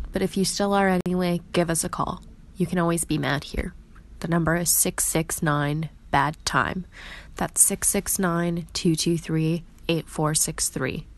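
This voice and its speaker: A woman with a low voice reads a scripted hotline promo. She sounds calm and reassuring. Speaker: hotline announcer.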